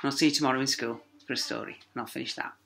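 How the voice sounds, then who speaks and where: A man's voice: medium pitch, steady tone, vocal fry, sharp tone, a little hiss or hum. A man reading a children's story aloud like a primary teacher, dramatic and emphatic. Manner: dramatic, with emphatic pauses and sound words.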